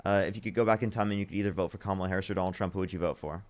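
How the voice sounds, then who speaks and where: A man's voice: sits low, fairly even intonation, racing. A young man hosting a live-streamed debate, talking bluntly and repeating his screening questions to each new guest. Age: young adult. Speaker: livestream debate host.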